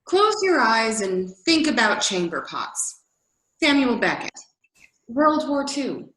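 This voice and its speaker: A woman's voice: fairly high pitch, sing-song, takes it slowly, a bit bright, exaggerated voice. Woman acting an exacting, swooping coach, echoey room. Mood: bossy, emphatic. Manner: insistent, emphatic. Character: exacting, inquisitive woman, bossy coach of storytelling. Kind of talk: readers theater dialogue. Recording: echoey, roomy sound.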